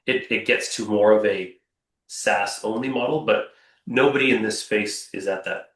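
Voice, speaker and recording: A man's voice: fairly low pitch, moderate pace, fairly bright tone. A man who founded a robotics company, talking with energy and emphasis and often repeating his words. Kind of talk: panel discussion answer. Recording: echoey room, off-mic.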